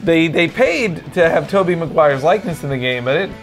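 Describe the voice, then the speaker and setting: Higher-voiced man, casual commentary over music. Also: expressive, fairly quick. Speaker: video game commentator.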